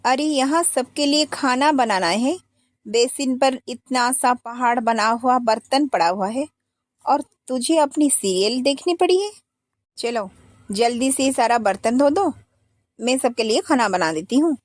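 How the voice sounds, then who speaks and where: A woman's voice: high voice, lively intonation, unhurried, no echo. Woman playing a bossy elder sister-in-law. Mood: scolding. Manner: scolding, nagging.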